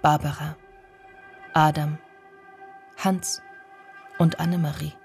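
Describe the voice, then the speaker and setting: A brooding female storyteller in a literary radio drama. Her voice is low and full of fry, and she speaks in hushed, deliberate fragments over music. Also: level tone, heavily acted. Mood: haunted, remembering. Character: brooding female narrator recounting dark village memories.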